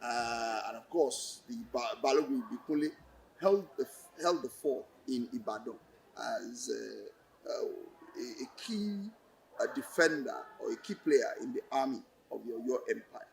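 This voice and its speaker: A man with a Nigerian accent explaining in an interview, deliberate and halting, repeating phrases for emphasis. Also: medium pitch, lively intonation, gravelly, slight background noise, low-quality recording. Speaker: lecturer and play director interviewed.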